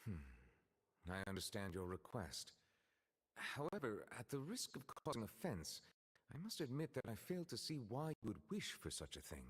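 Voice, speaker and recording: A man voicing a formal, reserved male judge in a video game, speaking politely and deliberately. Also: fairly low pitch, huge pitch swings, slightly rough, big-room sound, full character voice. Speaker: game character.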